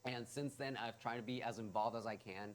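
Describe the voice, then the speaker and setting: A young man from Ecuador, a senior in college, sits in for a morning show interview. He talks in an easygoing, upbeat way, mid-pitched and relaxed. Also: fairly quick. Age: young adult. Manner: easygoing, upbeat, laughs easily. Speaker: college student. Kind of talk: TV interview guest.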